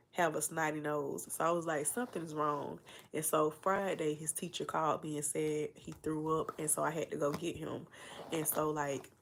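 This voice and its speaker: Young woman, livestream host, congested cold voice, low-pitched with some vocal fry, chatty and meandering. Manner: chatty, meandering, full of fillers and asides. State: stuffy nose from a cold. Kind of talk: casual rambling livestream chat.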